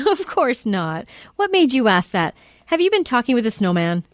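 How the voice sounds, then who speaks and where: A woman playing a caring, practical mother with a gentle, reassuring manner in a children's audio drama. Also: a little high, sing-song, moderate pace, no room sound, studio quality. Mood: teasing, reassuring.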